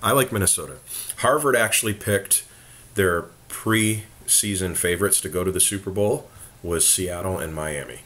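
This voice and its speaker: Hoarse, very deep-voiced man. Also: moderate pace, fairly bright tone. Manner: laid-back, joking banter. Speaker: podcast host. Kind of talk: casual sports chat.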